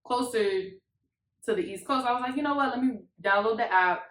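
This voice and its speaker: A woman's voice: fairly high pitch, brisk, fairly bright tone. A woman in her twenties with an American accent, telling a story in a casual, slangy chat to camera. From Miami, Florida, United States. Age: 20s. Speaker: YouTube couple vlogger. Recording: echoey untreated room.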